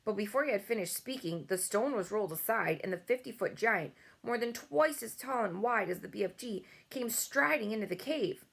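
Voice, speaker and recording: A woman's voice: medium pitch, expressive, normal speed, gravelly, few pauses, fairly bright tone, husky. A woman reading a children's storybook aloud as host, with casual asides.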